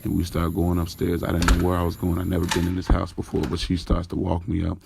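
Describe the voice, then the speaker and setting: A man's voice: sits low, monotone, fast talker, vocal fry, background noise. A man playing a smitten driver in an audio drama, candidly recounting his night in informal, slangy first-person storytelling. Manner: informal, slangy, acting out dialogue. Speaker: narrator and lead character of an audio drama.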